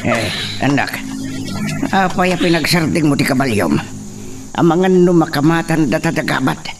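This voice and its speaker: A man acting a worried elderly father in a radio drama, plaintive and gentle in manner. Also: a little high, expressive, moderate pace, over music. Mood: worried, resigned. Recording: distant voice, faint background noise.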